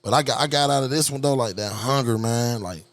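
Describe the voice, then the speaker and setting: An enthusiastic man hosts a casual interview, piling up slang and restarting his sentences. His voice sits at an ordinary middle pitch and he keeps the talk loose and hyped. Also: brisk. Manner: hyped, slangy, rambling with many fillers. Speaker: podcast host.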